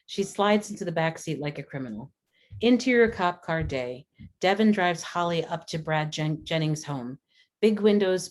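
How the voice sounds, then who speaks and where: A woman reading scripted stage directions as a narrator, in a measured, descriptive manner. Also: sits low.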